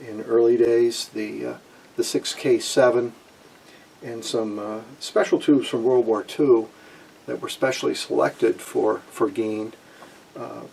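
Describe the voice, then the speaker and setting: Husky, gravelly man in his sixties. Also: mid-range voice, a little mellow, recorded from a distance, low-quality recording. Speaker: presenter of a vintage radio electronics channel. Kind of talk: informal technical explanation. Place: small wood-paneled room at home.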